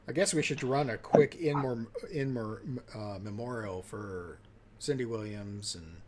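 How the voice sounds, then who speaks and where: A man's voice: fairly low pitch, a little slow. A man chatting casually as a podcast co-host in a blunt, dry, skeptical manner. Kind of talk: casual chat. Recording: faint background ambient noise.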